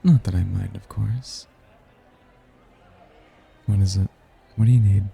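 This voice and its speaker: A man playing a suave, seductive male demon in an intimate ASMR roleplay, teasing and flirtatious, with a husky, gravelly murmur. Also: fairly even intonation, warm tone, faint music behind, full character voice. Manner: slow, flirtatious, breathy murmurs with low chuckles.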